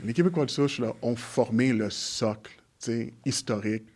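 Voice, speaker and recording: A man's voice: medium pitch, darkish tone. A man whose first language is English, speaking French as a guest in a conversational interview, often restarting his sentences and slipping into English phrases. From Montreal, Quebec. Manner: halting, restarts often while searching for words. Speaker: guest, community organization leader.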